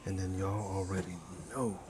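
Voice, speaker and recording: A man hosting a cooking ASMR video in a casual, playful, soft-spoken way, often slipping toward a whisper and repeating his phrases. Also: low voice, fairly even intonation, normal speed. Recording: clear sizzling and frying noise. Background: food sizzling, kitchen stirring sounds.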